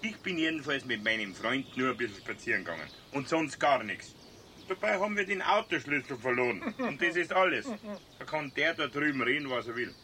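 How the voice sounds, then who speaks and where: An actor playing a cocky, evasive motorist with a Bavarian accent in a radio play, dismissive and sneering. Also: male voice, mid-range voice, animated, a little fast, sharp tone, a little hiss or hum. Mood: defiant, sneering. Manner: cocky, dismissive, tags lines with "gell". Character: insolent, evasive male litterbug motorist, sneering. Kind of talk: 1970s German radio play acting.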